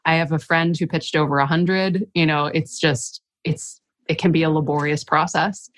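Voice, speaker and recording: Low stuffy-voiced woman guest, earnest and reflective, dry close sound. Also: fairly even intonation, normal speed, no echo. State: stuffy from a cold. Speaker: author and coach, podcast guest. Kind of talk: casual podcast interview.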